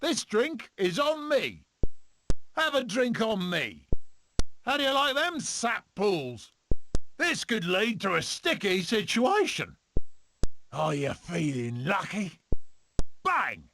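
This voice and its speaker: Manic weasel adventurer, wildly animated. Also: male voice, high voice, slow, long pauses, slight background noise, exaggerated voice. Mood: gleeful, daring. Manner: theatrical, eccentric, exclamatory. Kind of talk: video game character voice clips.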